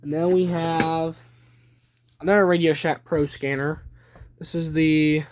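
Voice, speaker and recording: A young adult man giving a casual show-and-tell narration on a phone at home, listing items deliberately in short phrases. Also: fairly high pitch, steady tone. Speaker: hobby collector presenting a video. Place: small room at home. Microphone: handheld phone behind the camera. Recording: noisy handheld home video with clicking.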